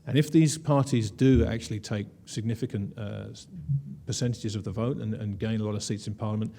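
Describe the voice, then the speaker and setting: A man's voice: on the low side, steady tone, fairly quick, creaky, warm tone. A man presenting slides at a conference, wry and conversational, with frequent "uh" fillers. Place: conference room.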